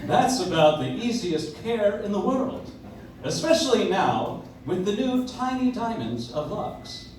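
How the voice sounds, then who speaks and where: A man's voice: high voice, sing-song, unhurried, a little mellow. An actor playing a polished, enthusiastic 1940s radio announcer, delivering a live commercial in an emphatic salesman's manner. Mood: promotional. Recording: echoey distant audience recording, faint noise.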